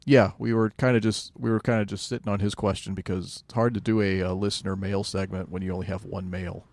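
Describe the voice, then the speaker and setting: A man chatting casually as a podcast co-host, with a deadpan, self-deprecating manner. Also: deep voice, quick pace. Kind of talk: casual chat.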